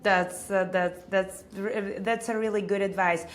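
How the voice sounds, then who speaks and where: A woman's voice: medium pitch, fairly even intonation, unhurried, slight background noise. A woman hosting an interview, asking questions with small restarts and friendly agreement. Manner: warm affirmations, stumbling restarts. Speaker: interviewer, host.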